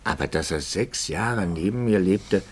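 An actor playing a troubled middle-aged priest in a 1950s radio drama, brooding and hushed, with bursts of passion. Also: male voice, sits low, a little slow, slight background noise. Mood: impassioned. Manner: brooding, swings from hushed whispers to impassioned outbursts.

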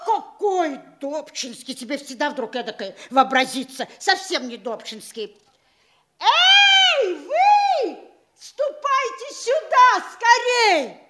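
Fussy, high-voiced provincial mother, swooping dramatically. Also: very animated, slow pace, pushed and bright, slight background noise, exaggerated voice. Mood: impatient, scolding. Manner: exclamatory, scolding, dramatic. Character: fussy, impatient, bossy provincial mother, vain and nosy. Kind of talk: theatrical classic stage comedy acting.

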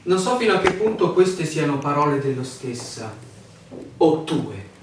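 An insistent, defensive lover in a radio drama. His fairly high male voice is emphatic and very lively in pitch, with an exasperated edge. The sound is distant and heavily reverberant. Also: measured pace. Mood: defensive, reproachful. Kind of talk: theatrical radio drama acting. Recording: very echoey room, distant mic, faint noise.

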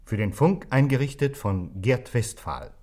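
A man's voice: medium pitch, animated, measured pace, darkish tone. A man formally reading scripted closing credits as a radio announcer.

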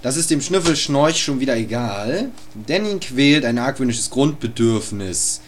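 A man narrating an absurd amateur comic radio play in a deadpan way. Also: mid-range voice, unhurried. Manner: deadpan, absurd, crude humor.

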